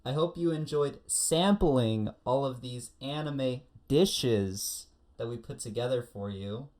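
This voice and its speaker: A male podcast co-host with a voice of middling pitch. He talks casually about anime and tells stories in an animated, conversational way. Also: takes it slowly.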